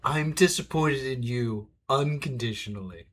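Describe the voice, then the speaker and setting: A man's voice: medium pitch, a little slow, a bit bright, slight background noise. A man giving casual live reaction commentary as a let's play streamer.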